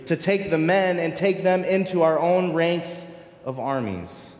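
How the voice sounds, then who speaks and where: A man in his thirties preaches with impassioned, repetitive cadence, his voice sitting a little higher than most men's. The sound carries through a large church sanctuary with a long, echoing reverb. He is picked up from a distance by the room microphone. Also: measured pace, a little mellow. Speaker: pastor. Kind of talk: sermon. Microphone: distant room mic, wide camera.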